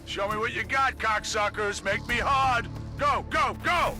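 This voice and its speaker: Game mercenary trainer: aggressive, foul-mouthed orders pitched very high over prominent music. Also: male voice, a little slow. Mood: aggressive commanding. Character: brutal, foul-mouthed male mercenary drill instructor. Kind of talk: cinematic game cutscene acting.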